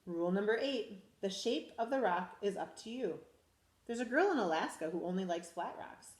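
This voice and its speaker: A school principal, a woman, reading a picture book aloud to children in a lively, expressive way. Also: mid-range voice, normal speed, roomy sound. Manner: animated, expressive storytelling to children.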